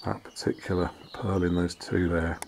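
A man giving a casual, relaxed walk-around tour, filming on a handheld camera outdoors and inside a barn, often pausing with fillers and restarts. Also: low-pitched, vocal fry, a little hiss or hum. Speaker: vlogger restoring a farmhouse.

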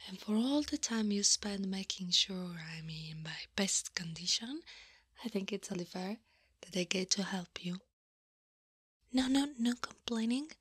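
Woman as a slow, breathy, caring older centaur lady. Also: medium pitch, animated, no echo, studio quality. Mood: caring, insistent. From Italy. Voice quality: breathy, often whispering. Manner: slow, soothing, softly teasing. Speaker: ASMR roleplay performer. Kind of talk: ASMR roleplay.

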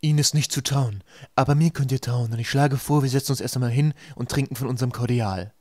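A man playing a manipulative island leader in a storybook, measured, persuasive and condescending. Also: medium pitch, darkish tone, dry recording, natural voice. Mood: accusing. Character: smooth-talking manipulative island leader, calmly hostile man.

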